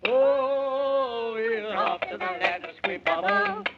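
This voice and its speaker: An actor playing a folksy, fussy, excitable Man in the Moon in a 1930s children's radio serial. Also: very high voice, slow pace, exaggerated voice. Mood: jaunty. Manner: exclaiming, rhyming, comically fussy. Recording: muffled, hissy 1930s radio recording.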